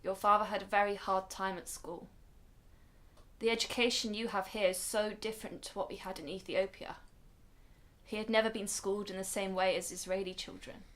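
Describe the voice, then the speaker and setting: A woman acts as a protective grandmother in a radio play. She explains things earnestly and with measured care, in a middling female pitch. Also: fairly even intonation, fairly bright tone, close to an everyday voice. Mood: sad, reflective. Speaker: actor in a radio drama. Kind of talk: radio drama dialogue.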